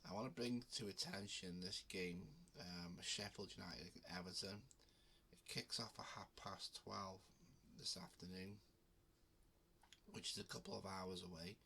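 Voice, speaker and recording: A man's voice: low voice, fairly even intonation, unhurried, creaky, bright, forceful tone, a little hiss or hum. A man with a cold hosting his betting video, talking casually with frequent ums and restarts. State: has a cold. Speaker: betting channel host. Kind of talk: casual talk to camera.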